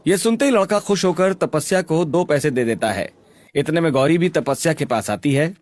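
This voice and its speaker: A man's voice: fairly high pitch, lively intonation, normal speed, a little mellow. A man narrating a scripted story in a dramatic storytelling style.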